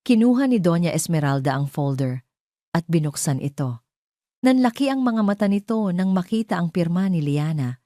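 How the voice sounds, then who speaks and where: A woman narrating a scripted romance drama as a storyteller, in a measured, solemn manner. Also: lowish voice, expressive, mellow, dry recording, studio quality.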